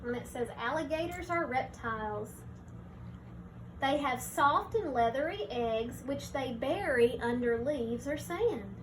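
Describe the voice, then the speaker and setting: A woman teacher reading a picture book aloud to young children, pausing to explain things simply and point them out. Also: sits high, expressive, a little hiss or hum.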